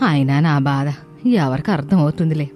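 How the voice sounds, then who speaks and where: A woman plays an elderly mother-in-law in an animated moral story. She grumbles and laments with nostalgia for old times, over background music. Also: deep voice, moderate pace, natural voice. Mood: grumbling.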